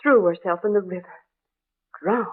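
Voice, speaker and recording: A woman's voice: mid-range voice, normal speed, slightly rough, natural voice. An actress playing a gossipy, superstitious older female neighbor in an old-time radio drama, chatty and exclamatory with an ominous way of telling things. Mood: tragic, dramatic. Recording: muffled, narrow-band vintage radio recording.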